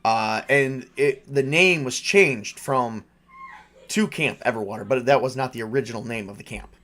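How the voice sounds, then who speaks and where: A man's voice: mid-range voice, normal speed, a bit bright. Male game master, mid-range voice, informal tabletop narration, conversational delivery.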